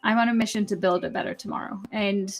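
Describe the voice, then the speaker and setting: A young woman from Kenya, a software developer, chatting as an interview guest, upbeat, laughing often. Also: mid-range voice, fairly even intonation, fast. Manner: friendly and upbeat, laughs often, uses fillers. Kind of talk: casual interview.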